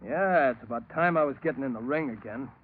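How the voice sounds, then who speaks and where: An actor playing a slow-witted, loyal ex-wrestler bodyguard in a 1940s radio crime drama, slangy and plain-spoken. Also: male voice, mid-range voice, close to an everyday voice. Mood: eager, casual. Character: dim, loyal male thug bodyguard, ex-wrestler. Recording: noisy, tinny old radio recording.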